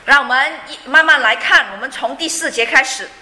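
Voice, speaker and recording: High, bright woman interpreting a sermon. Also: fast talker. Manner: fluent, with frequent fillers and light laughs. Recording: echoey room.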